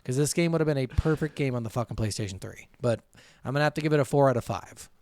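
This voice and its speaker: A man's voice: mid-range voice, fast talker, a little mellow, no room sound. Profane, blunt male gaming podcaster, dry sound. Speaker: podcast co-host. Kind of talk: casual gaming podcast chat.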